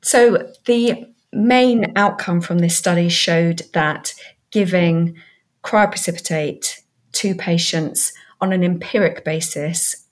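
A woman, a medical researcher, explaining study results as an interview guest. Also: lowish voice.

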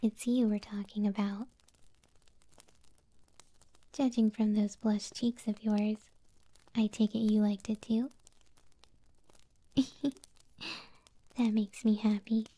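A woman voicing a possessive, doting young moth-girl girlfriend in an ASMR roleplay, speaking softly, breathily and tenderly, close to the microphone. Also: mid-range voice, flat delivery, no room sound, poor audio. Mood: flustered, affectionate. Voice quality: soft, breathy, whispery. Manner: slow, tender, teasing. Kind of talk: ASMR audio roleplay, intimate soft speech.

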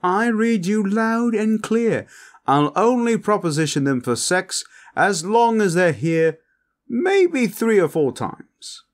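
A man's voice: sits high, sing-song, slow, pitched above the performer's own voice, heavily acted. A man voicing a posh, cheeky, lewd and vain vampire with a flamboyant, pompous manner in an audio roleplay. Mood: gleefully cheeky.

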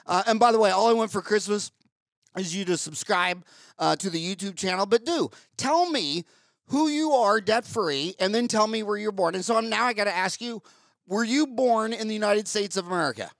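An older man hosting an interview podcast on a headset mic, talking in an animated, ranting way and repeating himself. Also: high voice, moderate pace, dry recording. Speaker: podcast host. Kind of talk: casual interview chat. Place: home studio with wood-panel wall.

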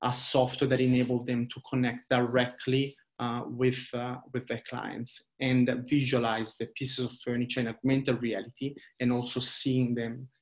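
An Italian man in his thirties presents his company to investors on a laptop video call. He speaks in a steady, flat tone that rarely rises or falls. His delivery is earnest, with frequent hesitation fillers. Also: mid-range voice, moderate pace, few pauses. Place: small indoor room. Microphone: laptop webcam video call.

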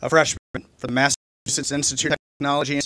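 A middle-aged man with a mid-range voice reads out honorees at an outdoor podium microphone. He speaks in a measured, formal way, with clear outdoor noise behind him. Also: mid-range voice, flat delivery, sharp tone. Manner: measured, formal name-reading. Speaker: awards presenter. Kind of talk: reading award announcements. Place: outdoor stage by a river. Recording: noisy outdoor recording.

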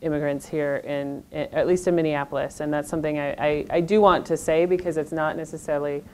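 A woman's voice: deep voice, fairly even intonation, fast talker, a little mellow. A woman panelist speaking earnestly in a lecture room, searching for words with frequent restarts. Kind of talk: panel discussion.

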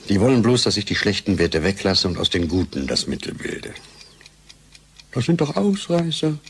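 A very low, creaky-voiced man as a jaded scientist in a radio play. He is cynical and weary, and his pitch moves around a lot. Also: very animated, normal speed, a little hiss or hum. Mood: bitter, exasperated. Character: weary, cynical male lab scientist, bitter and exasperated. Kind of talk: German radio drama acting.

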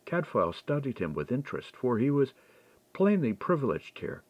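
Expressive male storyteller, lively intonation. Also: mid-range voice, moderate pace, slightly rough, dry recording, muffled recording. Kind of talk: audiobook narration of a historical mystery.

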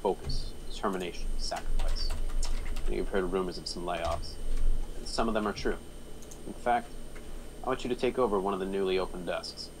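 A man's voice: sits low, normal speed, pauses often, background noise. A man playing a blunt, profane, domineering boss in a screenplay table read. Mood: commanding. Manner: forceful, blunt. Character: profane, domineering big-shot male boss.

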